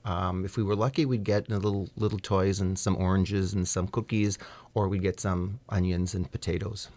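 A man's voice: deep voice, little pitch movement, normal speed, a little mellow. A middle-aged American man as a radio studio guest, chatting in a hesitant, good-humored way with many fillers. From United States. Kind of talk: casual interview chat.

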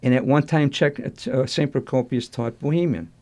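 Older man with a mellow voice. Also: medium pitch. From Chicago, USA. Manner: halting, restarts sentences, warmly nostalgic. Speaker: interview guest. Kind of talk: reminiscing interview answers.

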